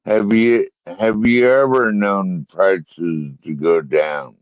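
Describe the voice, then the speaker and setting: A man in his fifties on a live-stream panel, asking slow questions in casual chat. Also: deep voice, fairly even intonation, dry recording, highs cut off. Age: 50s. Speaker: panel guest.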